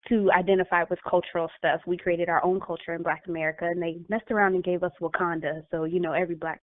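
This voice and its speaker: A woman's voice: fairly low pitch, little pitch movement, quick pace, dry recording. A woman guest, a life coach, talking through her thoughts in a casual interview, with many fillers and restarts. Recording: tinny phone-line audio.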